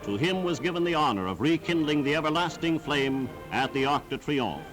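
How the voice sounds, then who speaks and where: A man's voice: fairly high pitch, lively intonation, a little fast, loud music behind the voice. A man narrating a 1940s wartime newsreel in a formal, grandiose, declamatory style. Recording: noisy old 1940s newsreel soundtrack.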